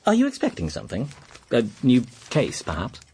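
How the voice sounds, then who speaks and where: An actor playing a genial, naive gentleman, a detective's loyal companion, narrating and talking. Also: mid-range voice, huge pitch swings, brisk, husky, exaggerated voice. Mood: cheerful. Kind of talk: radio drama narration and dialogue.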